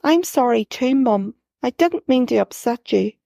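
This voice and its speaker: A woman voicing a quietly certain nine-year-old girl, with a gravelly edge. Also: on the high side, animated, a little slow, no room sound, studio quality. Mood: earnest.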